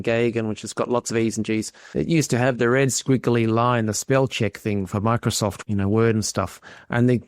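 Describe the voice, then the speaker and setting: A man's voice: medium pitch, no echo, studio quality. A man hosting a conversational interview podcast in a chatty, self-deprecating and appreciative way. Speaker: podcast host.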